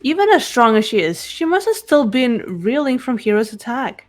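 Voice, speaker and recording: A woman voicing a calm, sharp young female detective who probes coolly, in a fan voice-acted game. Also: fairly high pitch, normal speed, faint music behind.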